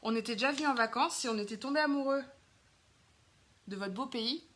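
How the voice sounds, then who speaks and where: Brief: French woman, 30s, bright slightly high voice, casual chatty live-stream host full of fillers. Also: a little fast, slight background noise. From France. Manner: chatty, lots of fillers, greets viewers while doing makeup.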